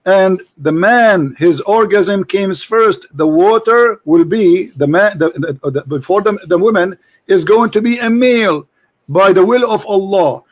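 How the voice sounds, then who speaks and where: A man with a notably high-pitched voice drives a heated debate, hammering his caller with the same questions over and over. He talks insistently, pressing for yes-or-no answers without letting up. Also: a little slow. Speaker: debate host.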